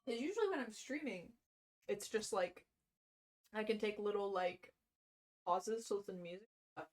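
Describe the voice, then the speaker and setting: A woman livestreamer who reads aloud and talks casually, in an expressive, self-deprecating way. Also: medium pitch, moderate pace. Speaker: livestreamer reading a book aloud.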